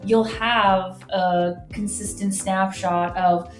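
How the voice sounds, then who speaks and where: A woman's voice: mid-range voice, fairly even intonation, slow pace. Female business guest, conversational and explanatory, echoey room sound, light music behind. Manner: conversational, frequent "like" and "right?" tags. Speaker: guest, marketing executive. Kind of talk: explanatory business interview talk.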